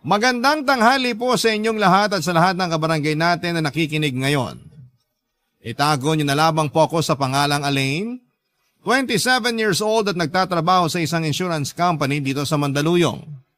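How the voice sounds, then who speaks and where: A man's voice: on the high side, fairly quick, a bit bright. A man with a Filipino accent, a radio host reading out a listener's letter in a dramatic scripted narration.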